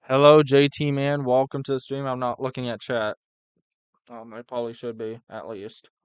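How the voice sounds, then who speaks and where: Guy livestreaming a reaction, chatty, clean crisp voice, really dry sound. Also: medium pitch, level tone, normal speed, dry recording. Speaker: livestream host reacting to videos. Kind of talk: casual chat over a reaction stream.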